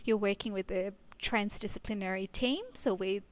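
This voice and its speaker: A woman's voice: mid-range voice, fairly even intonation, normal speed, no echo. A woman in her thirties from Kenya, a speech pathologist, chatting as an interview guest with lots of fillers and restarts. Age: 30s. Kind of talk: casual interview chat. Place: regional town in Australia.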